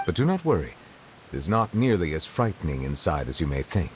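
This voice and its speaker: Game official: very deep, formal and measured, faint hiss behind. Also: male voice, very animated. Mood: composed. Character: formal, composed male official, polite and measured. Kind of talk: video game voice acting.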